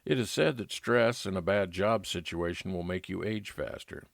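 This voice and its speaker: A very low male voice tells a private eye's story in the first person. The narration is scripted old-time radio drama, recorded close and dry. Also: moderate pace, gravelly, no room sound. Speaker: narrator playing a private investigator.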